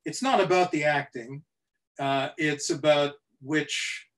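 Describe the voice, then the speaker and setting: A middle-aged man with a slightly high voice answers on a video-call panel. He is measured and hesitant, restarting often as he thinks his answer through. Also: fairly even intonation, fairly bright tone. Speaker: actor on a panel.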